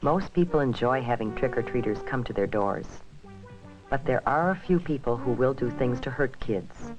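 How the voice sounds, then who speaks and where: An American man reading scripted, instructive narration for a 1970s safety film, with measured phrasing addressed to young people. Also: medium pitch, expressive, over music. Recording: dull vintage 1970s film soundtrack.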